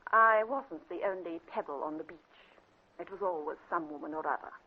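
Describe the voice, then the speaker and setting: An actress playing a frank, bitter widow in a classic radio drama, with an English accent and a dry, unsentimental manner. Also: female voice, lowish voice, brisk, close to an everyday voice. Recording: dull vintage radio recording.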